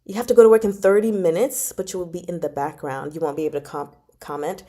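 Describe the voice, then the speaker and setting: A woman chatting casually and informally to her livestream viewers, giggly and animated as she talks. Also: lowish voice, fast. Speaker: host of a book club channel and amateur audiobook narrator. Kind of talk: casual livestream chat, reading out and answering comments.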